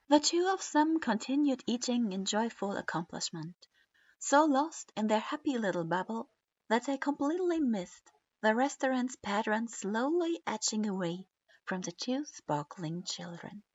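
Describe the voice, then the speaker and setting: A woman reads a story aloud at a slow, measured pace, her voice in a middle range. The recording is close and dry, with a dull, lo-fi quality. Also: on the high side, very animated, dry recording. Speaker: reader. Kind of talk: reading fan fiction aloud.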